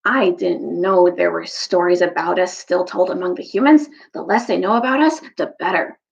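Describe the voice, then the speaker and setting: Woman reading as a surly, grumbling dwarf, creaky voice. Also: fairly low pitch, normal speed, highs cut off. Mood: sulky. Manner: grumbling, accusatory. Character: surly, suspicious male dwarf, bitterly distrusts humans.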